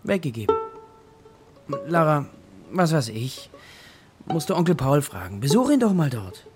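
An actor playing a distracted, dreamy father in a children's radio play, musing and offhand, with a creaky voice. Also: fairly high pitch, big pitch swings, unhurried, darkish tone, faint music behind. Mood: evasive. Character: distracted, dreamy composer father of a young girl.

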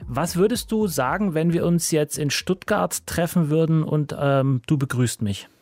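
Relaxed male radio host. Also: a little high, measured pace, no echo, clean studio sound. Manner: relaxed, conversational questioning. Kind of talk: radio interview.

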